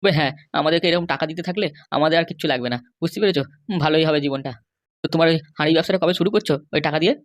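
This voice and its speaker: A performer doing a middle-aged Bengali husband from a comedy cartoon, talking extremely fast in a close, dry recording. He placates and complains by turns, worried but always giving in. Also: medium pitch, dry recording, lightly acted. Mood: agreeable. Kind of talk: animated comedy cartoon dialogue.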